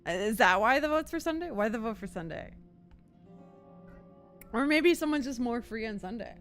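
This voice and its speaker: A woman's voice: on the high side, expressive, moderate pace, a bit bright. A woman live-streaming a game, chatting casually with viewers in a giggly, reactive manner. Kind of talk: casual live gameplay chat. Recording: game music behind the voice. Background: video game music.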